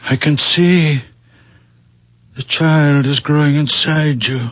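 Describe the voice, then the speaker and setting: An actor playing a gentle, weary, very old man in a 1970s radio drama, speaking solemnly in halting, simple phrases. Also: fairly high pitch, fairly even intonation, no room sound, highs cut off. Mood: tender, solemn.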